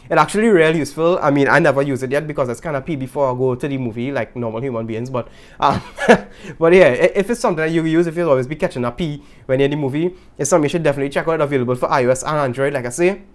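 Vlog host, young trini guy, quick talk, raised voice, lively, gestures and laughs. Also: a little high. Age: young adult. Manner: animated, gesturing, laughing asides. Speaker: YouTube host. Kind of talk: casual vlog talk to camera. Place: small indoor room with guitars.

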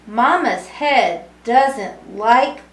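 Slow, high-voiced woman reading to children. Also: lively intonation. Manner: deliberate, singsong phrasing with explanatory asides to children. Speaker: storybook reader, early childhood education student. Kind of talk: read-aloud of a rhyming picture book for young children. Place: small indoor room with brick wall. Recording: roomy, echoing home recording.

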